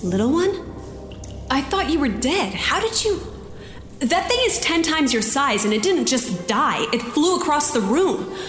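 A woman's voice: high-pitched, big pitch swings, unhurried, fairly bright tone, roomy sound, full character voice. A woman playing a wry, plucky lone scavenger in a science fiction audio drama, muttering to herself. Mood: astonished. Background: music.

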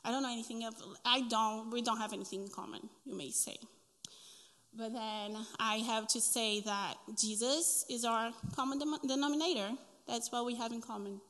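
A woman's voice: on the high side, fairly even intonation, normal speed, a bit bright. A woman in her thirties with a Brazilian Portuguese accent, sharing an earnest personal testimony at a church women's breakfast, with frequent ums and restarts. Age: 30s. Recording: long reverb of a hall.

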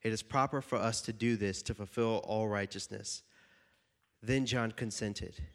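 A man's voice: on the low side, steady tone, a little slow, fairly bright tone. A young man with a stuffy, slightly nasal voice from a cold, preaching casually and jokingly to a youth group and reading scripture aloud. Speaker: youth pastor.

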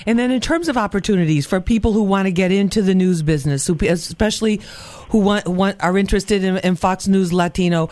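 Lady radio host doing interviews, talks really fast, dry close sound. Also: medium pitch, a little mellow, dry recording. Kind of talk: conversational interviewing.

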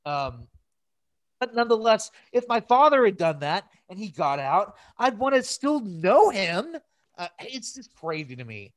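A man's voice: high voice, big pitch swings, a little slow, no room sound. A man hosting a casual podcast, ranting in an exasperated, opinionated and profane way. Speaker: podcast host.

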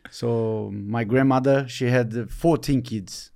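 Brazilian-born man, halting interview answers. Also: medium pitch, steady tone, gravelly, a little mellow. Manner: halting, searching for words, many fillers. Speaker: retiring football player, guest.

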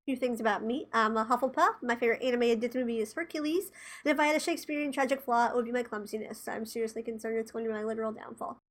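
Woman in her twenties, high voice, nasal, congested from a cold, casual podcast chat. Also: fairly even intonation, rapid-fire. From Tennessee, USA. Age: 20s. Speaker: podcast co-host.

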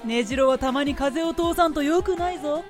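An imperious, scheming man played by a woman in a radio drama, pitched high. The speech is blunt, masculine and commanding. Soft music sits underneath. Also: normal speed, natural voice. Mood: sly, persuasive.

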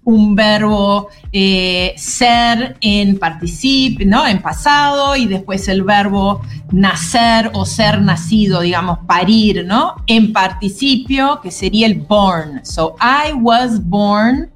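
A woman's voice: mid-range voice, takes it slowly, hardly any pauses, faint music behind. A woman teaching an English class on the radio, explaining patiently and often checking in with a questioning "¿no?".

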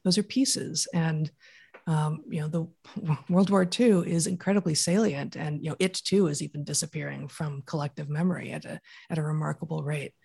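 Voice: medium pitch, normal speed, creaky, a little mellow, husky. A podcast guest who answers at length in a thoughtful, lecturing way, building each idea step by step. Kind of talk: explanatory interview answers.

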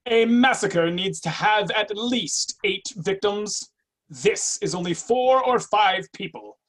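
A woman in a readers' theatre play, playing an officious, matter-of-fact young office supervisor with a slightly husky voice. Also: mid-range voice, expressive, takes it slowly, low-quality recording. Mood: insistent.

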